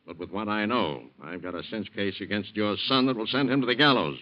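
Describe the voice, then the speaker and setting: Actor as cocky sneering American crook boss, vintage radio. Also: male voice, on the low side, fairly quick, close to an everyday voice. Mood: smug, threatening. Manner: slangy, taunting, tough-guy talk. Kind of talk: old-time radio crime drama acting. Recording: dull, lo-fi old radio recording.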